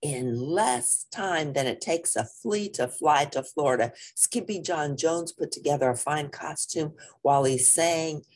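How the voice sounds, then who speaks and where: A woman reading a picture book aloud to children with expressive narration. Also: low voice, measured pace, few pauses. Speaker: storybook reader.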